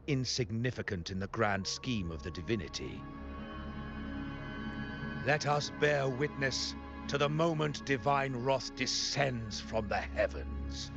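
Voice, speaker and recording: An actor playing a sinister, silkily menacing zealot in a video game, theatrical and drawn out, sliding into whispers. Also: male voice, mid-range voice, creaky, highs cut off, exaggerated voice. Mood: cold, fervent. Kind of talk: video game story dialogue.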